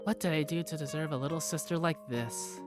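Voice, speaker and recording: A woman's voice: sits low, brisk, music in the background, close to an everyday voice. A woman playing an affectionate young working big sister, narrating her own story in a visual novel fan dub. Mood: affectionate, playful. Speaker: narrator and protagonist. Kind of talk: first-person visual novel narration and dialogue.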